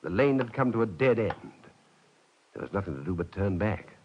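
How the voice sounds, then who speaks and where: A man's voice: lowish voice, animated, moderate pace, a little hiss or hum, close to an everyday voice. An actor playing a headstrong French nobleman who tells of his youthful adventure in an articulate, dramatic radio-drama style. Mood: measured, suspenseful. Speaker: lead actor and narrator. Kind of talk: mid-century radio drama narration and acting. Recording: slightly dull vintage radio recording, music behind.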